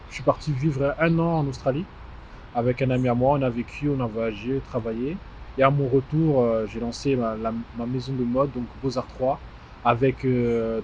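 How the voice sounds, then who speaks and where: A man in his twenties who grew up in Strasbourg, giving conversational interview answers with frequent mid-phrase pauses. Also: mid-range voice, darkish tone, slight background noise. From born in DR Congo, grew up in Strasbourg, France. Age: 20s. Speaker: interview guest, fashion house founder. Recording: dull muffled sound with music behind. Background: music.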